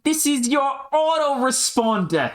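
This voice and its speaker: A man voicing an excitable, exasperated young adventurer in amateur scripted dialogue, animated and exclamatory, with quaint slangy cursing. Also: very high-pitched, a little slow, heavily acted. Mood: sarcastic.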